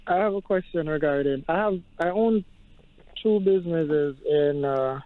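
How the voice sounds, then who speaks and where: A man's voice: on the high side, normal speed, no echo. A man from Jamaica with a Jamaican accent, phoning a show as a caller to ask a question. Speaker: caller, business owner asking for advice. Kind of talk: phone call question to a radio show. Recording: dull, phone-like caller line.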